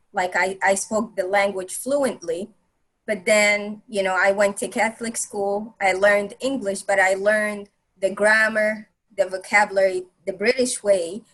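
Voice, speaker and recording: Halting woman, Arabic native speaker. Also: medium pitch, a bit bright, poor audio. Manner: halting, many restarts and fillers. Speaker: presenter on language barriers. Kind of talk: casual group discussion.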